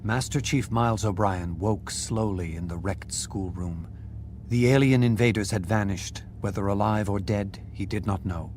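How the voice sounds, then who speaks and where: A man reading an audiobook in a measured, dramatic narrating style. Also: fairly low pitch, a little hiss or hum. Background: loud music.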